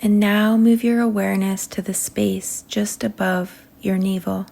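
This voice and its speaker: Very slow, creaky-voiced woman guiding meditation. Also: mid-range voice, steady tone, mellow. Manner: slow, soothing delivery with long pauses between short phrases. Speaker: meditation guide. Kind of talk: guided meditation.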